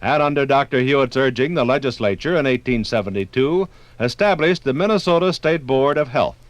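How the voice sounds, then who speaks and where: A man with an American accent reading scripted 1950s radio documentary narration in a formal, measured way with dramatic emphasis. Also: mid-range voice, dry recording. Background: faint music.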